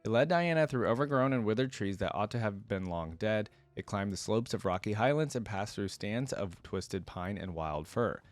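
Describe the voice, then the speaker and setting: A man's voice: on the low side, lively intonation, normal speed, hardly any pauses, soft background music. A man hosting a reaction video, reading a fantasy story aloud and adding casual fan commentary.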